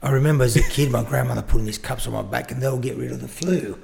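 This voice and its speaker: A man from Australia, a guest on a casual podcast, telling animated family anecdotes. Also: mid-range voice, fast, creaky, long reverb tail.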